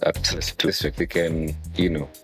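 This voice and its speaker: A man's voice: very deep voice, little pitch movement, measured pace, over music. A man co-hosting a podcast, chatting casually and often chiming in. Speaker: podcast co-host.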